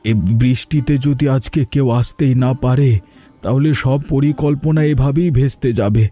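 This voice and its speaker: A man's voice: mid-range voice, steady tone, normal speed, mellow, soft background music, close to an everyday voice. A man voicing an anxious, weary, aging village father in a cartoon story, worried and despondent.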